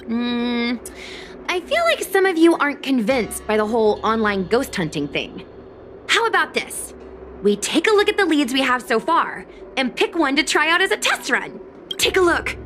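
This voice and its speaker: A woman's voice: high voice, big pitch swings, normal speed, fairly bright tone, slight background noise. A woman voicing a chatty, confident young girl influencer in an anime game, breezy and persuasive. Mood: cheeky, upbeat. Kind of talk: anime game dialogue acting.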